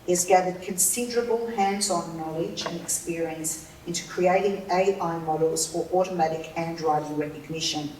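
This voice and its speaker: A woman's voice: on the low side, normal speed, hardly any pauses, a little mellow. A woman hosting an event, reading a formal introduction of the speaker and stumbling slightly over her words. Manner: reads from notes, stumbles on a word. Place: lecture room. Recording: echoey hall, distant mic, faint noise.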